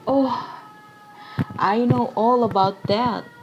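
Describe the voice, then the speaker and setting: A woman's voice: fairly high pitch, steady tone, slow, dull audio, over music. A woman acting a shy, eager young man in a stage play, polite, deferential and hesitant. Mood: shy, apologetic.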